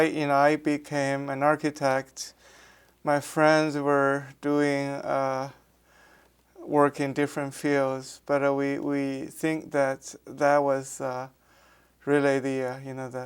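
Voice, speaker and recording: A man in his sixties speaks English with a Beijing Mandarin accent, in an oral history interview. His voice is smooth and clear, and his delivery is slow and fairly flat. He pauses often, with many fillers and restarts. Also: medium pitch. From Beijing, China. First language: Mandarin Chinese. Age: 60s. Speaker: architecture professor.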